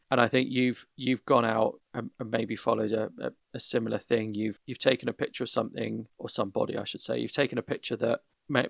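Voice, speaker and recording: A man hosting a casual podcast, giving measured, careful feedback with frequent restarts over an internet call. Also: medium pitch, creaky, a bit bright, dry recording, clean studio sound. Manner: measured, many restarts, gives constructive feedback. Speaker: podcast host. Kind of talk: casual conversational critique. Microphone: voip call.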